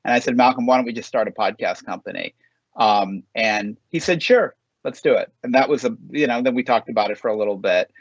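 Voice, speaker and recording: A man on a webinar telling a short story about starting a company, measured and steady with a few ums. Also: medium pitch, dry recording, lo-fi sound. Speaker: podcast company co-founder, guest. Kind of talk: anecdotal conversational answer. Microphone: remote webinar call.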